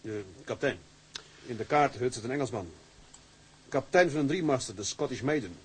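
An actor playing a steady, outspoken first mate of a seagoing tug, with a Dutch accent and a practical, matter-of-fact manner. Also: male voice, medium pitch, fairly quick, slightly rough. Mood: sober, reporting. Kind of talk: film drama acting.

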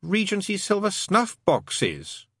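A man's voice: sits high, huge pitch swings, slow pace, fairly bright tone, slightly rough, dry recording, clean studio sound, pitched above the performer's own voice, heavily acted. A man voicing a glum, sardonic demon who needles and persuades in colloquial, emphatic lists, in an audiobook story. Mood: triumphant, taunting.

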